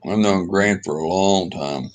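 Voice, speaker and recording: Man, lower voice, easygoing stream host, casual drawling chat. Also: unhurried, slight background noise.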